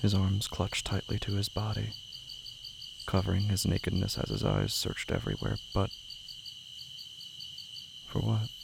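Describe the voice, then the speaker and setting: A man narrating scripted horror slowly, in a hushed, deliberate, ominous manner. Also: low-pitched, sing-song, creaky, noisy background. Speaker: horror fiction podcast narrator.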